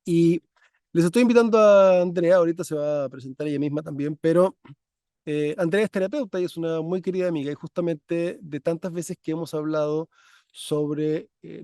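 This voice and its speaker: A man hosts an interview in a relaxed, rambling way. His voice is fairly high for a man but mellow in tone. The sound is dry and close. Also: no echo. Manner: warm, chatty, many fillers and restarts. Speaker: podcast host. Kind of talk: conversational interview. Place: parents' country house.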